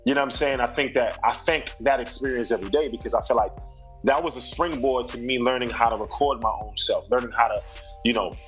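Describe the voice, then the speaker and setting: Laid-back New York rapper, reflective talk. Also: male voice, medium pitch, quick pace, fairly bright tone, soft background music. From Brooklyn, New York. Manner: laid-back, reflective, slang-heavy, many fillers. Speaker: rapper and singer, guest. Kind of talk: casual interview chat.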